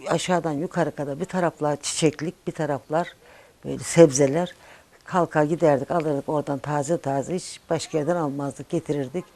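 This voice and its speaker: Older lady from chatting about old times, creaky gravelly voice, short plain phrases. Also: sits low, fairly even intonation, fairly quick, dark tone. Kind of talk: casual reminiscing in an interview.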